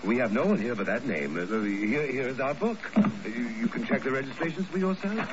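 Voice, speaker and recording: An actor playing a polite, evasive and flustered hotel clerk with a stammering, obsequious manner in 1950s radio drama. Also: male voice, mid-range voice, lively intonation, fairly quick, lightly acted. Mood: nervously polite. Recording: noisy, dull old radio recording.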